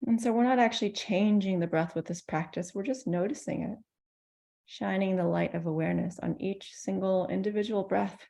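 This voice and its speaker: A woman teaching meditation, guiding listeners in a gentle, soothing manner with pauses between phrases. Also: medium pitch, vocal fry. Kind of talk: guided meditation.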